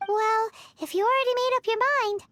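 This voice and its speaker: A woman voicing a tiny, cheeky childlike fairy companion girl in a video game, talking excitably. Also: very high-pitched, brisk, background noise. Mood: questioning. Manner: excitable, refers to self in third person. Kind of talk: video game character acting.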